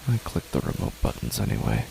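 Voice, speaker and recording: A man voicing a grieving parent alone in a dark, silent house, speaking in a slow, hushed, dreamlike monologue for a horror story. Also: extremely low pitch, sing-song, vocal fry, slight background noise, voice pushed down, exaggerated voice, low-quality recording. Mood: eerie, hushed. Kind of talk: first-person horror narration.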